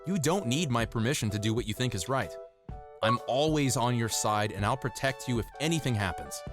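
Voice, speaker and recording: A man's voice: mid-range voice, animated, a little fast, close to an everyday voice. A man playing an ordinary young office worker and loyal boyfriend, narrating and acting in a manga dub over music, earnest and easily exasperated. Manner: earnest, exasperated when provoked. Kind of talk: dubbed manga narration and dialogue.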